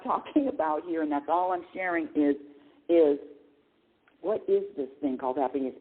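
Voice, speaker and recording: Middle-aged woman host, lively spiritual talk over a tinny phone line, stumbles and restarts, laughs a lot. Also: on the low side. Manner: animated, repeats and restarts words, laughs often. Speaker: radio show host.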